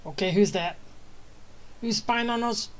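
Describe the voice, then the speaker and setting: A woman's voice: medium pitch, unhurried. A woman game streamer giving casual, playful commentary and reacting aloud as she plays. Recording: game music underneath.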